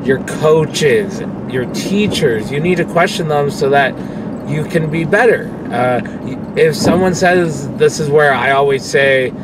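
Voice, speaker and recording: Young male vlogger, casual and frank, records himself in a moving car with steady road hum. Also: fairly high pitch, measured pace. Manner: rambling, candid, swears freely. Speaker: vlogger and pro wrestler. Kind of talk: casual talk to camera while driving. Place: inside a car, driving. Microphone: phone camera on dashboard. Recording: car interior with road noise. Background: road and engine noise.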